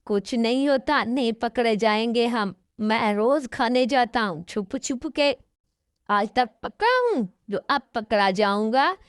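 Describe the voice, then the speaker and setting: A woman's voice: fairly high pitch, lively intonation, a little slow, clean tone, no echo, studio quality. A woman voicing a sly, cheeky young boy crow who boasts and whines in a children's cartoon story. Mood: cocky. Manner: boastful, then pleading and stammering.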